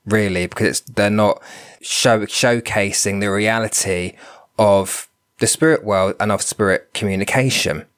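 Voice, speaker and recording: Male podcast host, slightly low voice, stuffed up and nasal with a cold, reflective solo talk. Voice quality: nasal, bunged up. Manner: thoughtful, repeats words while thinking. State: congested from a cold. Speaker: podcast host, spiritual medium.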